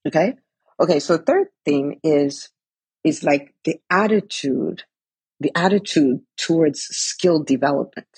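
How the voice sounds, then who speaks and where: Husky woman in her 40s, dry close sound, chatty coaching talk, keeps asking right?. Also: low voice, animated, measured pace, a little mellow, no echo. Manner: earnest, lots of "right?" and "like". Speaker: coach for physicians in business. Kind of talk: casual motivational talk.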